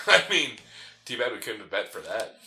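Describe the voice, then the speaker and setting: A man's voice: fairly low pitch, very animated, normal speed, bright, forceful tone. A man co-hosting a gaming show, chatting casually and arguing in a teasing way as he comments on the game.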